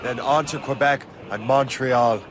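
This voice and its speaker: A man's voice: mid-range voice, unhurried, background noise, natural voice. A man playing a resolute, self-assured adventurer in a video game cutscene, speaking in a direct, firm and courteous way.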